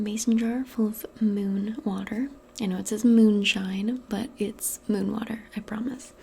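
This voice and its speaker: Slow, creaky, hushed ASMR woman. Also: medium pitch, slight background noise. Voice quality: breathy, half-whispered. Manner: hushed, gentle, hesitant and informal. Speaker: ASMR role-play performer as a modern witch. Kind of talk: soft-spoken ASMR personal-attention role play.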